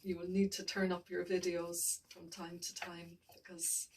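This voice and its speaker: A woman with a lowish, flat voice talks through a painting lesson, half to herself, mumbling and letting sentences trail off. She sits a little back from the microphone, with faint room noise behind her. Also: measured pace, far from the mic. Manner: thinking aloud, mumbling, trailing off. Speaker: art tutor. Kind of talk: painting tutorial narration.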